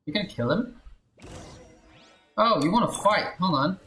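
A woman's voice: on the low side, animated, normal speed, a bit bright. A woman streamer giving casual reaction commentary while playing a game. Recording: roomy echo, game music behind. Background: faint game music.

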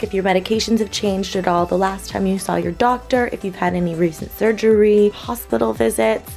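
A young woman in her twenties, vlogging casually to a handheld camera, explaining things in a friendly, chatty way. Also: mid-range voice. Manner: upbeat and chatty, then quieter and drowsy. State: groggy after treatment. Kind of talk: casual vlog narration. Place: outdoors in a city alley, later a bedroom. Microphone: handheld camera at arm's length.

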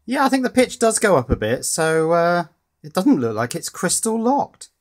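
A man talks through an electronics repair at his bench. His delivery is relaxed and wry, full of small pauses and "uh"s as he thinks aloud. He sounds like a hobbyist chatting to a camera. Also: sits high. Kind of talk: casual tinkering commentary. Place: indoors at a workbench.

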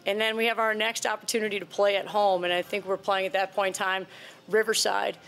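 A woman's voice: mid-range voice, level tone, brisk, fairly bright tone. Earnest woman coach at a press table. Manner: earnest, conversational, repeats words as she restarts. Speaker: head basketball coach. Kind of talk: postgame press conference answers. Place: press conference room before a sponsor backdrop. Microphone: table microphone.

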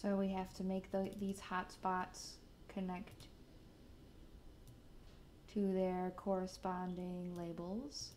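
A young adult woman hosting a casual software tutorial, explaining each step from a desk in a room. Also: lowish voice, monotone, takes it slowly, pauses often, a little hiss or hum. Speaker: tutorial host.

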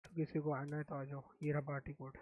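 A young man from India live-streaming a game, speaking softly and hoarsely with a sore throat in casual chat. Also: a little high, monotone, fast, muffled recording. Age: young adult. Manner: speaks softly, mic-checking.

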